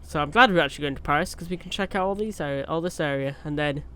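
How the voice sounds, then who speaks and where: A man's voice: on the high side, fast, pushed and bright, smooth. A young man with a cold, chatting casually over a truck driving game, rambling with fillers and restarts. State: has a cold. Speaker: gaming YouTuber. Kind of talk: casual gameplay commentary.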